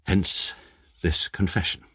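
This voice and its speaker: An actor playing a pedantic, self-mocking young barrister who narrates a comic radio drama in a deadpan, precise manner. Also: male voice, takes it slowly, over loud music, close to an everyday voice. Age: young adult. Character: dry, pedantic male barrister narrating his life.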